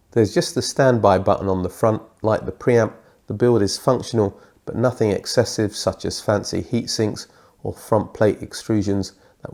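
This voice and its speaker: A middle-aged man with a British accent, his voice thick and croaky from a lingering cold. He talks low and mellow through a scripted review, dropping in the odd dry aside at his own expense. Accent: British English. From Britain. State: congested from a cold.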